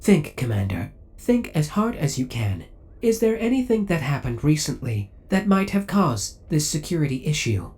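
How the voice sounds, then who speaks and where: A man's voice: a little high, very animated, moderate pace, a little mellow. A man playing a possessive, coolly obsessive male spaceship AI in an ASMR audio roleplay, speaking with formal, precise, robotic politeness. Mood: insistent, manipulative.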